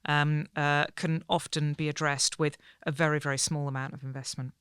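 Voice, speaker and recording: A woman guest on a podcast, speaking sincerely and in measured terms, with hesitant fillers between points. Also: sits low, little pitch movement, a bit bright, smooth, no echo, clean studio sound. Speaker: podcast guest, senior academic. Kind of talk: conversational podcast discussion.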